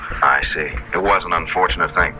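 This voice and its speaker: An actor playing an earnest young English instructor in a radio drama, politely persistent with slightly hesitant phrasing. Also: male voice, deep voice, brisk, close to an everyday voice. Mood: polite, probing. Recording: muffled radio broadcast, music underneath.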